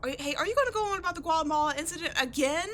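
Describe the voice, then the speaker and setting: A woman acting in a roleplay audio drama as a brash young tomboy archaeologist with a soft side, animated, slangy and teasing. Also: extremely high pitch, very fast. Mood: indignant.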